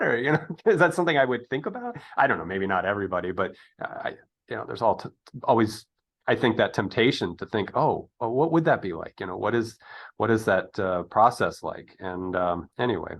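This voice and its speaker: A man in his fifties hosting a casual interview, talking in a rambling, tangent-prone way. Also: medium pitch. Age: 50s. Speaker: interview host.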